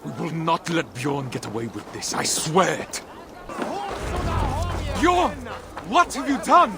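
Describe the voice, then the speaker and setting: An actor playing a proud, earnest Viking trader and husband in a video game cutscene. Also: male voice, a little high, huge pitch swings, takes it slowly, creaky, noisy background, heavily acted. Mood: indignant. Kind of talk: dramatic game cutscene acting. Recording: music behind the voice.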